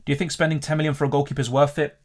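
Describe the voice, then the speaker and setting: A man's voice: a little high, level tone, rapid-fire. A man from Argentina hosting a fantasy football livestream, casually reading out and answering viewer questions in a conversational, off-the-cuff way as he thinks aloud.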